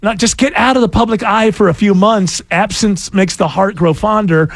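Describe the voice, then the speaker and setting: A man's voice: moderate pace, dry recording. A man hosting a radio show, chatting casually in a rambling, self-mocking way. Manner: rambling, self-mocking, animated pitch jumps. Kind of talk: casual on-air banter.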